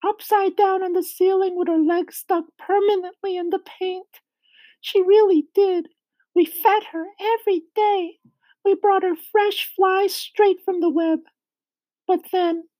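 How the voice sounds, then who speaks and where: A woman voicing a fretful, tearful female spider in a children's storybook, with a squeaky falsetto and dramatic lamenting. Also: mellow, poor audio.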